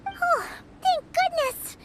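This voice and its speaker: A woman voicing a tiny, bubbly, childlike female fairy companion in a video game, with a squeaky voice. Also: slow, background noise. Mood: relieved. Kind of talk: video game character acting. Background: loud game music.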